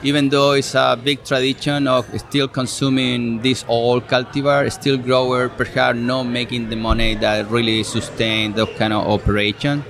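Chilean man with a gravelly voice. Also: mid-range voice, little pitch movement, normal speed, few pauses, fairly bright tone, slight background noise. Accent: Chilean Spanish. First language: Spanish. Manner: explanatory, some hesitant fillers and restarts. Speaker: extension fruit specialist. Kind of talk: relaxed interview answers. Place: outdoor farm show event.

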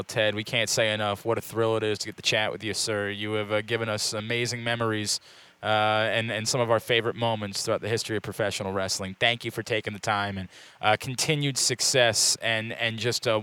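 A man's voice: fairly low pitch, fairly even intonation, brisk, sharp tone, clear, resonant tone, no echo. A man hosting a podcast, effusive and thankful, with many fillers. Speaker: podcast host. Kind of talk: casual podcast chat.

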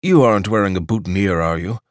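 A man's voice: low-pitched, fast talker, dry recording, studio quality, natural voice. A man voicing a stiff, formal male club desk attendant, prim and proper.

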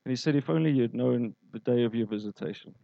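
A man's voice: mid-range voice, fast talker, gravelly, dry recording, highs cut off. A man preaching a sermon, telling stories and asking the congregation questions. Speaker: pastor.

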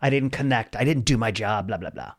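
A man in his forties, a stand-up comedian talking casually as an interview guest, telling stories in an animated way and acting out dialogue. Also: on the low side, a little fast. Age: 40s. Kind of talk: casual conversational storytelling.

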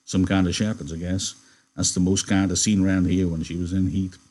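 A man voicing a calm, dignified ranch team leader, quiet and matter-of-fact, in a novel read aloud. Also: deep voice, fairly quick.

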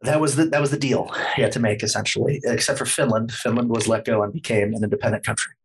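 A man's voice: mid-range voice, fairly even intonation, quick pace, slightly rough, poor audio. A man talking as a podcast guest, explaining history at length in a lecturing way, hedging often with plenty of fillers.

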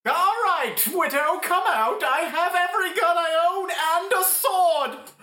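Woman doing a muddled, literal-minded cop in a comedy radio play, high voice, echoey room. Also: unhurried, sharp tone, exaggerated voice. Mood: confused, blustering. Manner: confused, literal-minded, rambling. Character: bumbling, heavily armed, scatterbrained police detective. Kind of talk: improvised comic radio play. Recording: roomy, echoing room sound.

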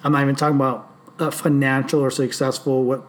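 A man in his late thirties talking casually on a podcast, animated and swearing freely as he tells stories. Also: medium pitch, fast talker, very noisy. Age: 30s. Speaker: podcast host.

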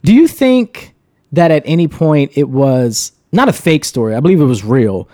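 A man's voice: on the high side, moderate pace, dry recording, studio quality. A man hosting a casual podcast chat, upbeat and leading the topics, just over an illness. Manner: upbeat, lively, leads the topics. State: recovering from illness. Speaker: podcast host.

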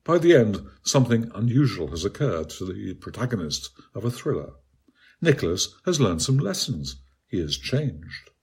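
Low, husky-voiced man reading an essay. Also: big pitch swings, darkish tone. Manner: measured, articulate, bookish. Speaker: author reading own article. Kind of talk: scripted literary essay narration.

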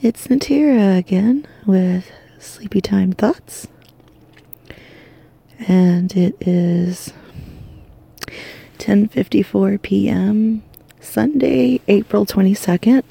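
A tired woman talks softly from her bed, drifting in and out of a whisper as her voice cracks. Her tone is very dark and gravelly with a husky edge. The sound is close and dry. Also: mid-range voice, slow pace, pauses often, no echo. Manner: soft, drowsy, half-whispered, rambling. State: exhausted, losing her voice. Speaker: podcast host. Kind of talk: sleepy bedtime monologue. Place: lying in bed at home.

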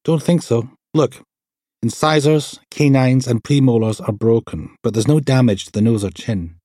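A man's voice: medium pitch, moderate pace, dark tone, no echo, clean studio sound, voice pushed up, close to an everyday voice. A man voicing a blunt, coolly observant female forensic technician in clipped, matter-of-fact audiobook dialogue. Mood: brisk, clinical.

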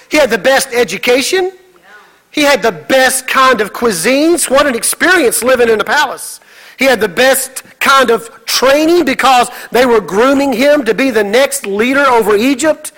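A man's voice: very high-pitched, fairly bright tone, rough recording. A middle-aged man preaching a sermon as a pastor, emphatic, with repeated exclamations and rhetorical questions.

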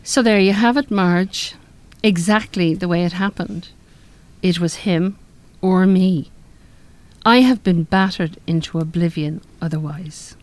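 A woman's voice: lowish voice, a little slow, natural voice. An actress playing a forthright, defiant married woman in a radio drama. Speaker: radio play actor. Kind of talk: live radio drama acting.

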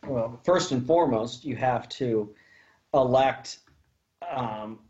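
Ex-senator guy explaining how it works, slow and steady, dull lo-fi sound. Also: medium pitch. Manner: measured, explains step by step with fillers. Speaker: podcast guest, former state senator. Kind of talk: casual political interview.

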